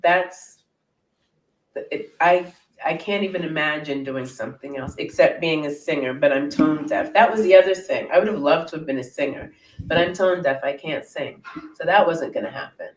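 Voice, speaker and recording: A middle-aged woman with a naturally deep voice, a guest hypnotherapist chatting casually and laughing at herself on a video call. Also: normal speed. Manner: self-deprecating, laughs often. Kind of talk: casual interview chat. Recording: roomy sound from far off the mic.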